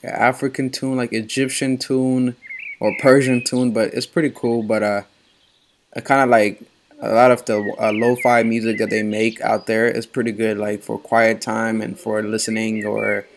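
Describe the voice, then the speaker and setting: A young man in casual voice-over, explaining his hair care in a relaxed, rambling way with many pauses. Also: on the low side, creaky, slight background noise.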